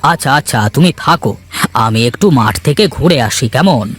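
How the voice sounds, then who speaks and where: A man playing a mild, worried elder brother who farms, speaking gently and conversationally in a village cartoon. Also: mid-range voice, expressive, fairly quick, slightly rough. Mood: concerned. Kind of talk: Bangla cartoon voice acting.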